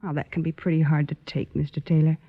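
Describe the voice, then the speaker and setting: A woman's voice: sits low, little pitch movement, quick pace. An anxious, protective sister in a vintage radio play. She speaks hesitantly and earnestly, pleading, and the sound is dull and lo-fi. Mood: sorrowful. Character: anxious, pleading older sister of wayward boy. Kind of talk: old-time radio drama acting. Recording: dull, lo-fi old radio recording.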